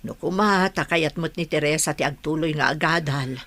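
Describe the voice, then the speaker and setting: A woman's voice: deep voice, normal speed, a bit bright. A woman playing a concerned middle-aged mother in a radio drama, coaxing and earnest. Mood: conversational. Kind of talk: Ilocano radio drama acting.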